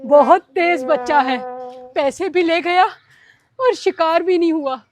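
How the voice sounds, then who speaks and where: A woman's voice: extremely high pitch, measured pace, faint music behind. A woman playing a sly, scheming stranger who lures children, in an acted moral-story skit. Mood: annoyed, scheming.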